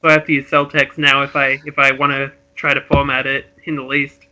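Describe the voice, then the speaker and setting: A man's voice: medium pitch, monotone, brisk, bright, forceful tone, muffled recording. A jovial, chuckling man, switching between casual chat and reading out script narration. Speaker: co-host and script reader.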